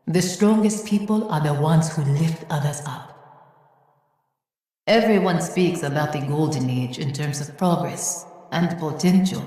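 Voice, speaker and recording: A wise, gentle woman in a video game, her voice low and soft-edged. She speaks slowly and solemnly, reflecting on the past, in a very echoey hall. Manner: measured, reflective, solemn storytelling. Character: wise, gentle woman remembering a lost age. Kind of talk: dramatic video game monologue. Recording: echoey hall with long reverb.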